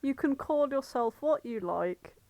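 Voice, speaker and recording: Playful woman with a higher-pitched voice. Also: lively intonation, measured pace, vocal fry, a little mellow. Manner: playful, joking. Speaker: podcast co-host. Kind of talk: casual comedic chat.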